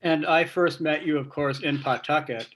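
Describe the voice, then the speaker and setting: A man's voice: fairly high pitch, normal speed, a bit bright, rough recording. A man hosting a casual conversation on a video call, putting questions to guests. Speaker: meeting host, interviewer. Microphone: video call microphone.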